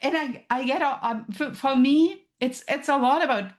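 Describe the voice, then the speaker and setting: A woman's voice: sits high, normal speed. A woman from Germany with a German accent, a podcast guest who explains her ideas thoughtfully, with examples. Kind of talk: casual interview chat.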